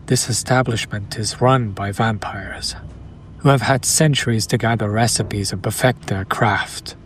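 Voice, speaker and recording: Man playing a courtly, slow-speaking vampire gentleman. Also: lowish voice, a little hiss or hum. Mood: charming, reassuring. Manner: formal, archaic phrasing with tag questions. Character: courtly, charming old-world male vampire, smitten and attentive. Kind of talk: romantic audio roleplay, intimate scripted acting.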